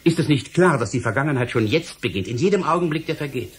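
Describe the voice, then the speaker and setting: An actor playing a stern, exasperated government official in a radio play, lecturing in an emphatic, theatrical, rhetorical way. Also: male voice, medium pitch, animated, fast talker, husky. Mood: grave, triumphant. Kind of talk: German radio play acting.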